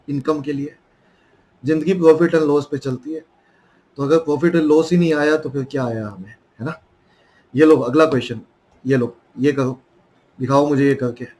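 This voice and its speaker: A man's voice: a little high, darkish tone. A young man with an Indian accent and a sore throat, teaching a math lesson in a coaxing way and calling his students children.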